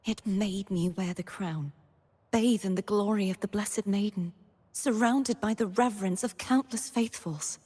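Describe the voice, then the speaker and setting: A woman playing a gentle, earnest, solemn young knightly maiden in a video game, speaking softly in a scripted monologue. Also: medium pitch, measured pace, slightly rough. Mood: solemn, sorrowful. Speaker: game character voice actor. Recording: long reverb on the voice.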